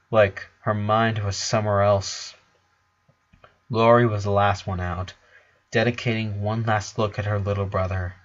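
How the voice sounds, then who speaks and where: A man's voice: mid-range voice, muffled recording. A man reading a dramatic story aloud as narrator. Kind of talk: dramatic fan fiction narration.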